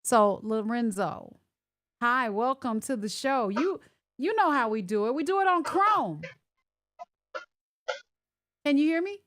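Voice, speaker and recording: A woman's voice: fairly high pitch, expressive, a little slow, pauses often, fairly bright tone. A woman hosting a live online talk show over a video call, chatty and repeating herself as she checks whether her guests can hear her. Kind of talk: casual live-stream chat.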